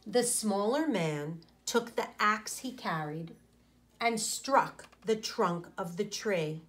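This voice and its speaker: A woman in her forties, a teacher reading aloud to children and explaining, with deliberate, pausing phrasing. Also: mid-range voice, animated.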